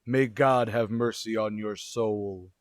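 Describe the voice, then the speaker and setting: A man plays a stern judge in a radio play. He speaks gravely and formally, his voice dropped lower and solemn. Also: fairly even intonation, slow, dry recording, studio quality. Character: solemn, stern male judge passing sentence. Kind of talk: old-time radio drama acting.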